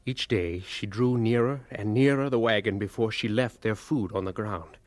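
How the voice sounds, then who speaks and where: A man playing a grown son who narrates his family's sorrows in an audio drama, in solemn, measured storytelling. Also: on the low side, no echo, lightly acted. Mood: solemn, sorrowful.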